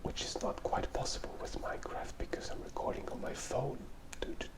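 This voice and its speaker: Whispering ASMR artist, breathy and close. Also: a little fast. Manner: hesitant, musing, thinking aloud. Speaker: artist hosting an ASMR drawing video. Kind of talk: whispered ASMR, casual drawing commentary. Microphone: phone mic taped close to the mouth. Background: soft pen and tablet writing sounds.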